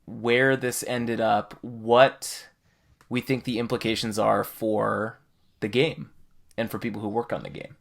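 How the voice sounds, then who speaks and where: Gravelly-voiced man in casual podcast chat. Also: on the low side, little pitch movement, measured pace. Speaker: podcast co-host. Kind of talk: casual chat about an online game.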